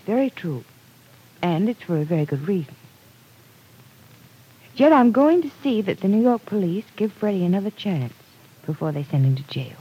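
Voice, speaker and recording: Earnest, husky young radio heroine. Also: female voice, medium pitch, lively intonation, normal speed, pauses often, mellow, poor audio. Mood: earnest, defensive. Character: earnest, stubbornly loyal young woman defending a boy. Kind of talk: old-time radio soap opera acting.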